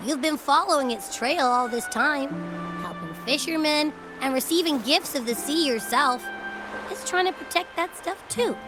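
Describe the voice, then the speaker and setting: A woman's voice: high voice, moderate pace, over music, close to an everyday voice. A woman playing a bold, upbeat, cheeky girl in a video game, brash and playful in a casual, exclamatory way. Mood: playful reassurance. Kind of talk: video game character acting.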